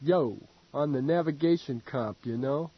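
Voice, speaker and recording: A man voicing a laid-back male tug pilot, soft and easygoing. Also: on the high side, measured pace, breathy edge, dry recording, muffled recording.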